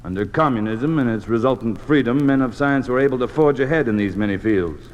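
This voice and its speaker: An actor playing an officious, doctrinaire Communist official in an old-time radio drama, speaking in a pompous, clipped, commanding way. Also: male voice, mid-range voice, brisk, dull audio, lightly acted. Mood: stern, lecturing. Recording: old radio recording, long hall reverb.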